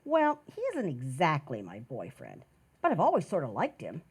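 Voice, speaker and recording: A woman's voice: low voice, wildly swooping pitch, normal speed, husky, heavily acted. A woman playing a bashful, sentimental household maid in 1940s radio comedy, hesitant and dreamy in manner. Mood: bashful, smitten.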